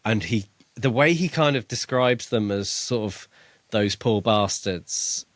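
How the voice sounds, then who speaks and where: A man co-hosting a casual book discussion podcast, thinking aloud in a tentative, halting way. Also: fairly low pitch, dry recording. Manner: tentative, musing, halting delivery. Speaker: podcast co-host.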